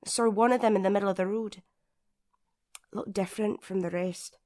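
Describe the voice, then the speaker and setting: A woman's voice: mid-range voice, steady tone, fairly quick, a little mellow, lightly acted. A woman playing a tough, wary survivor with a blunt, matter-of-fact manner in an audio drama. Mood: wary recounting.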